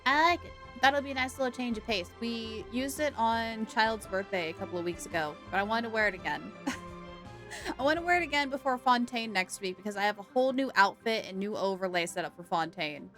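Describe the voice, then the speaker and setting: A woman livestreaming a game with casual, playful, expressive commentary for her viewers. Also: a little high, fairly quick. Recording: game music behind the voice.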